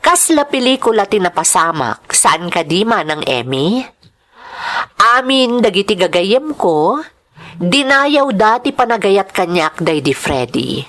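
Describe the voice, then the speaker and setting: A woman's voice: medium pitch, big pitch swings, unhurried, a bit bright. An actress with an Ilocano accent playing an admiring woman in conversational drama dialogue. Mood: animated, reflective. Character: admiring woman recounting dramatic events to Emmy.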